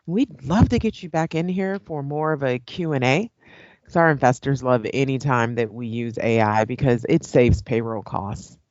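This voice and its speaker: A woman's voice: very deep voice, normal speed, darkish tone, no room sound, dull audio. A woman playing a polite, professional current-affairs host in an audio play. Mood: casual, friendly. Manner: courteous, professional.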